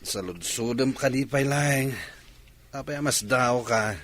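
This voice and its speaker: A man's voice: mid-range voice, slow, a bit bright. An actor playing a village man in an Ilocano radio comedy drama, with an Ilocano accent. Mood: challenging.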